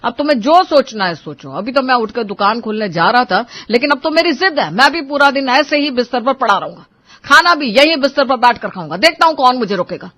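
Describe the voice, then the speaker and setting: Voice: high voice, lively intonation, fairly quick, pushed and bright, no room sound. A performer voicing a sulky hot-tempered young husband. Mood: stubborn. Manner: sulky, irritable.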